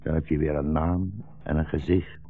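An actor playing a weary, bitter old blind rabbi fleeing war, solemn and brooding, in a 1960s Dutch radio drama. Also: male voice, extremely low pitch, fairly even intonation, moderate pace, faint music behind. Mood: weary resignation. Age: older. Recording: dull, muffled 1960s radio recording.

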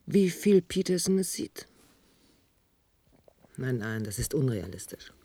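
An actress playing a sober, reserved medical expert with a restrained, clinical manner in a radio drama. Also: female voice, very deep voice, very animated, a little slow, mellow, husky, full character voice. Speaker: actor in a radio play. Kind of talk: German radio drama acting.

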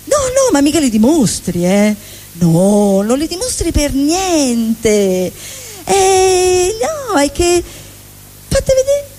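Fussy old mamma voice from a woman comic, way up high, all backhanded compliments. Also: big pitch swings, slow, mellow, exaggerated voice. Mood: fussing. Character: nagging older Italian mother, backhanded.

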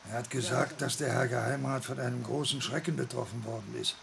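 Very gravelly, simple messenger. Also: male voice, mid-range voice, steady tone, brisk, a crowd in the background. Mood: earnest, troubled. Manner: simple, step-by-step retelling. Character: plain-spoken, excited male messenger reporting news. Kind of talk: German radio play acting. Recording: noisy old radio recording.

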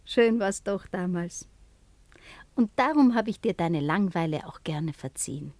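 A woman's voice: fairly low pitch, expressive, a little slow, darkish tone, no room sound. An actress playing a teasing, evasive woman who meets a former lover in a radio play, speaking in a coquettish, lightly mocking way. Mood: coaxing, mocking. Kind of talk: German radio play acting.

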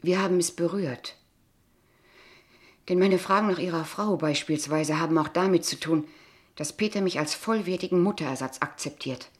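Radio drama casting: actress for a young female kindergarten teacher, low-pitched, formal and measured, politely relentless in her questioning. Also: close to an everyday voice. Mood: polite, firm. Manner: measured, formal, pointed, quietly persistent. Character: polite, insistent, probing kindergarten teacher, a young unmarried woman. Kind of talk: German radio play dialogue acting.

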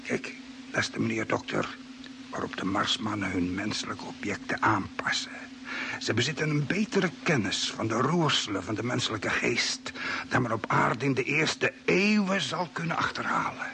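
A man acting a grave, formal stranded space traveller who explains things deliberately in a vintage Dutch radio play, on a noisy old recording. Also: mid-range voice, big pitch swings, vocal fry. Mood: grave, explaining. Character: grave stranded Earth man, knowing explainer of Martian mind control.

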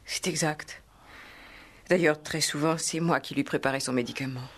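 An actress playing a grieving, dignified widow, hesitant, formal and restrained, in 1960s French radio drama style. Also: female voice, sits low, steady tone, moderate pace. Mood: sorrowful, restrained. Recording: vintage 1960s radio play recording.

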